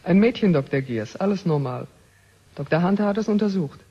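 An actor playing a proud scientist with a husky voice in a vintage radio play. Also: male voice, high-pitched, lively intonation, a little fast, dark tone. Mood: proud, matter-of-fact. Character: proud male scientist coaching his artificial creation. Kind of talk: 1970s German radio play acting. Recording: muffled vintage radio recording.

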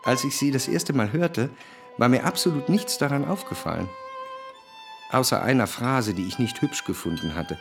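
An actor playing a reflective, cultured man who recalls his past in a literary radio drama, with measured, precise diction. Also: medium pitch, lively intonation, vocal fry, over music. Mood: wistful. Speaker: narrator.

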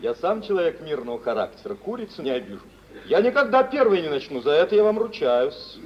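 A swaggering, lordly gentleman with a fairly high, expressive voice and suave mockery. The theatrical speech sounds distant and muffled, from a vintage radio drama. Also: brisk. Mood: mock meek. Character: swaggering, self-assured gentleman rake, haughty and mocking. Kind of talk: 1940s Russian stage acting for radio. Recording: distant, muffled, hissy old radio play.

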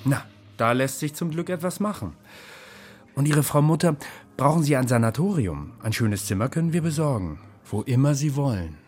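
An actor playing a Nazi ministry official in a radio drama, falsely courteous, smug and insinuating. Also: male voice, mid-range voice, expressive, moderate pace, darkish tone, lightly acted. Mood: flattering, expansive.

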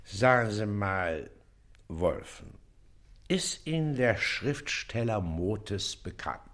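An actor in a classic German radio play, playing a pompous, suspicious, blustering village magistrate with an officious, emphatic manner. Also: male voice, on the low side, very animated, takes it slowly, a bit bright, heavily acted. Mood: probing.

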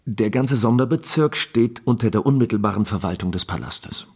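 An actor playing an affable, talkative captain of the imperial guard in a German radio drama, chatty, courteous and persuasive, with a husky voice. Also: male voice, medium pitch, moderate pace. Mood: explaining.

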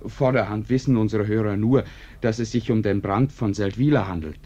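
An actor playing an urbane author who narrates a radio play with courteous, dry irony in measured phrases. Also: male voice, lowish voice, dark tone, natural voice. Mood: courteous, ironic. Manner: courteous, dryly ironic, measured. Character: urbane, ironic male author narrating his own tale. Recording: dull, band-limited radio recording.

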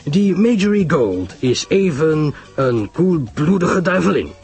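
A man voicing a grave, self-assured older detective who explains his reasoning in a measured, emphatic way, in a radio story. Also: fairly high pitch, big pitch swings, a little mellow, breathy edge, soft background music, voice pushed up, heavily acted. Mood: scornful.

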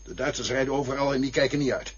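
A man's voice: mid-range voice, quick pace, husky, natural voice. An actor playing a strict father who lectures his son in a radio play. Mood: stern. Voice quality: stern, lecturing. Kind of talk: radio drama acting. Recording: dull lo-fi recording with faint hiss.

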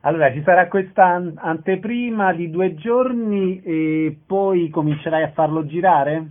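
A man's voice: sits high, unhurried. Man hosting a radio interview, tinny sound. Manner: announcing show dates, brief follow-up questions. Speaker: radio show host.

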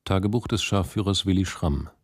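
A man's voice: deep voice, brisk, no room sound, clean studio sound. A man announcing characters and documents in a radio drama in terse scripted phrases.

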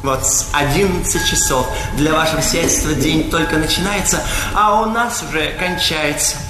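A man's voice: a little high, normal speed, over music, close to an everyday voice. A man playing a sly, servile, gossiping retainer with a fawning, ornate manner in a Russian stage comedy. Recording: echoey theatre hall, faint noise.

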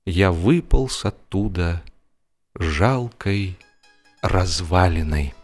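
Expressive low-voiced man telling a comic story. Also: drawn-out words. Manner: expressive, acting out comic hesitations and dialogue. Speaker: narrator of a comic audio drama. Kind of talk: theatrical humorous first-person storytelling. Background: music.

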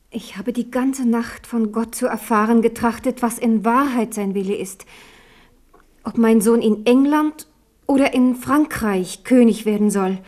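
An actress playing a devout, resolute queen and mother in a 1970s radio drama, speaking gravely and softly. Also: fairly high pitch, lightly acted. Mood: hushed.

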